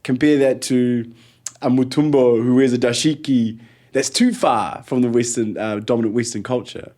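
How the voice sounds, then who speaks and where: Animated kiwi-accented man, mellow voice. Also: mid-range voice, normal speed. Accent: New Zealand. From Ethiopia. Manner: animated storyteller, acts out quotes, laughs often. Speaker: guest. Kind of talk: conversational interview.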